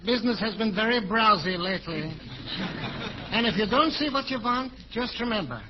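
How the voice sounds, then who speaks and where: A wisecracking, wheedling shop salesman in an old radio comedy. His voice is high and bounces around a lot, on a muffled vintage recording. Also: expressive, moderate pace, noisy background. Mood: jokey. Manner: wisecracking, chuckles after his own jokes. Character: jokey, wheedling furniture salesman, a cheerful father. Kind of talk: 1940s radio comedy acting.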